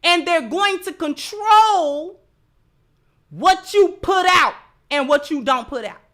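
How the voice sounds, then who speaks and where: A woman's voice: very high-pitched, lively intonation, slow pace, pushed and bright. A woman hosting a livestream with impassioned commentary, speaking emphatically and repeating phrases to drive points home. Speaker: livestream host. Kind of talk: impassioned livestream commentary to an audience, reading out chat messages.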